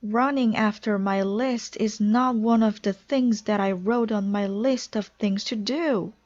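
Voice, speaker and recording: A woman's voice: mid-range voice, unhurried, a little mellow, lo-fi sound, voice pushed up, natural voice. A woman voicing an anxious, stubborn male toad from a children's storybook, plaintive and emphatic. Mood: panicked, wailing.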